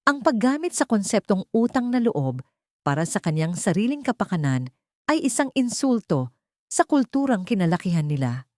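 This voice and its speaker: A woman's voice: mid-range voice, sing-song, normal speed, no echo, clean studio sound. A woman reading dramatic scripted narration for an audio story.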